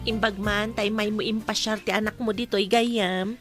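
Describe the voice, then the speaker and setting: A woman's voice: medium pitch, fairly even intonation, normal speed, loud music behind the voice, close to an everyday voice. A woman playing a concerned friend who gives advice in an Ilocano radio drama.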